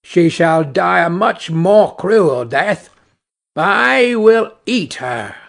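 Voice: medium pitch, lively intonation, drawn-out words, creaky, full character voice. A man reading aloud and voicing a cruel, hot-tempered carter who cries out in rage and self-pity in a storybook tale. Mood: furious. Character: furious, cruel male carter.

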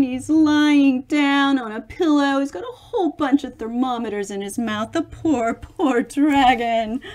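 A middle-aged woman reading a children's picture book aloud, expressively, with chatty explanations for young listeners. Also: fairly high pitch. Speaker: storybook reader for children.

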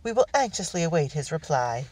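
A woman's voice: deep voice, sing-song, brisk, warm tone, low-quality recording. A woman voicing a fussy, doting loyalist mother in the colonies, cooing and genteel in an audiobook.

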